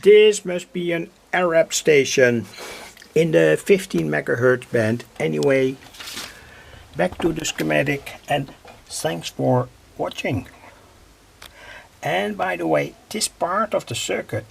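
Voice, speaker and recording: Husky, gravelly man explaining, slow and halting. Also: fairly high pitch. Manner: halting, piecemeal phrasing with pauses. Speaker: hobby electronics video maker. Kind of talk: informal hands-on technical explanation.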